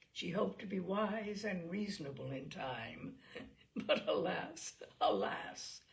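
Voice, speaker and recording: Woman narrator with a creaky voice. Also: mid-range voice, slight background noise. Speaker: audiobook reader. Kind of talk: literary narration of a classic novel, voicing dialogue.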